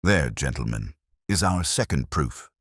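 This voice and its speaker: A man voicing a keen, commanding gentleman detective in an audiobook, grave and intense, with formal, deliberate phrasing. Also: rumbling low, expressive, husky, no room sound, clean studio sound, heavily acted. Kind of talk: audiobook character dialogue.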